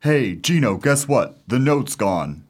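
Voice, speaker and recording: A man's voice: medium pitch, sing-song, slow. A man playing an impatient, threatening henchman in an old-time radio thriller, speaking curtly. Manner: curt.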